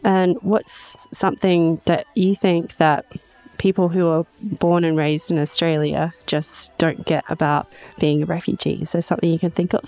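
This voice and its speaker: A woman's voice: lowish voice, level tone, normal speed, warm tone. A woman hosting and interviewing guests in a conversational way. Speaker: podcast host and interviewer.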